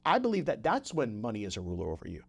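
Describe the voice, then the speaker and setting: A man's voice: fairly low pitch, wildly swooping pitch, fast talker, gravelly, slightly rough. A man hosting a podcast in a conversational motivational style, repeating phrases for emphasis and often checking in with the listener. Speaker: podcast host.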